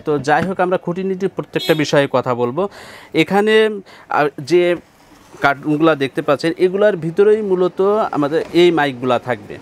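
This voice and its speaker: A man's voice: fairly high pitch, slight background noise. A Bangladeshi man with a Bengali accent, a shop salesman giving a chatty product demonstration in an electronics shop.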